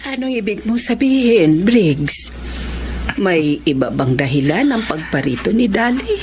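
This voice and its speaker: An actress with a Filipino accent playing a sickly, kindly older mother in a gentle, grateful radio drama manner. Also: mid-range voice, sing-song, measured pace. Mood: curious. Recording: clear background noise and ambience.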